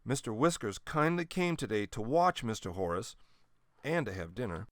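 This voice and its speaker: A man's voice: medium pitch, sing-song, normal speed, no echo, studio quality, close to an everyday voice. A man voicing a kindly, earnest young girl in a children's storybook, in a plain manner.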